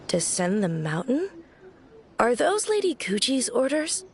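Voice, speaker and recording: A woman's voice: a little high, very animated, measured pace, gravelly, background noise, exaggerated voice. A woman playing a polite, earnest young shrine maiden in a video game, speaking respectfully. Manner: courteous, respectful.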